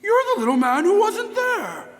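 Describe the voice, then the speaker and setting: A man's voice: very high-pitched, moderate pace, full character voice. A man playing an indignant, frightened, blustering crime victim in a live radio melodrama, recorded in an echoey hall. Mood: panicked. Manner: excitable, blustering. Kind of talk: live old-time radio melodrama acting.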